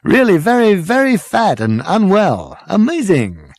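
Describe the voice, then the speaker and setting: A man voicing an excitable, matey male alien traveller in a comic audiobook, gushing and slangy. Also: sits high, a little slow, no room sound, voice pushed up, heavily acted. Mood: gushing greeting.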